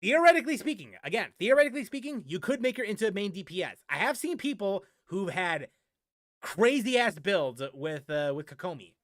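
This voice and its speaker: A man's voice: high voice, very animated, fairly quick, a bit bright, dry recording, studio quality. A man streaming casual game chat, rambling and often repeating his phrases for emphasis. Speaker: gaming streamer answering viewers. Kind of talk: casual livestream chat about games.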